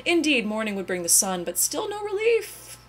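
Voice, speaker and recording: A woman's voice: fairly high pitch, big pitch swings, normal speed, fairly bright tone, a little hiss or hum. A woman giving a melodramatic dramatic reading of overwrought prose, with a theatrical, mock-tragic and emphatic delivery. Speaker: reader.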